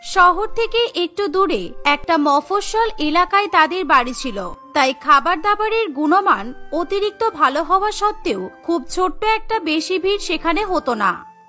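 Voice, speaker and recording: A woman narrates a cartoon story in a very high-pitched voice. Her tone is bright and forceful, and music plays behind her. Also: sing-song, unhurried.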